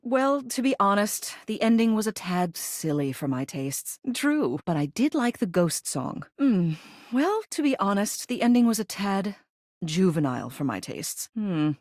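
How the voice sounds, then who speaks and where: A woman's voice: mid-range voice, sing-song, measured pace, darkish tone, no echo. A woman voicing a genteel, wry older female living ceiling who loves theater in a video game, refined and composed with dry wit. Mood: polite, mildly critical. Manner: refined, composed, dryly witty theater critic.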